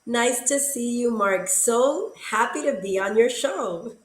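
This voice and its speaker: A woman's voice: on the high side, lively intonation, slow pace. A woman, a company head appearing as a guest, answering briefly and agreeably. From Puerto Rico. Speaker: guest, food company CEO. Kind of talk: casual interview chat. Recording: echoey remote call audio.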